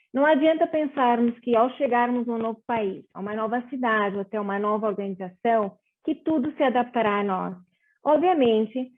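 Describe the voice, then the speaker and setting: A woman from Portugal speaks with a Portuguese accent that carries Brazilian tones. Her voice sits a little high, and she talks in an earnest, measured way with small pauses. The sound comes over a thin, phone-like video call line. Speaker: panel moderator. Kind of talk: reflective panel talk.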